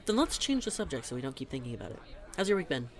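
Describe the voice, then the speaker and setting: A man's voice: mid-range voice, sing-song, very fast, a faint crowd, close to an everyday voice. A young man playing a teasing, chatty best friend in a conversational audio roleplay, talking in a casual, emphatic way. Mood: upbeat, friendly. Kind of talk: conversational scripted roleplay monologue.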